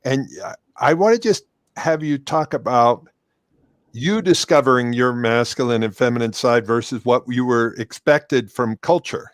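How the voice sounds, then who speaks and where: A man's voice: medium pitch, moderate pace, dry recording, clean studio sound. A man hosting a panel, stammering a bit as he hands a question to a guest. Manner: stammering while handing over questions. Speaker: host.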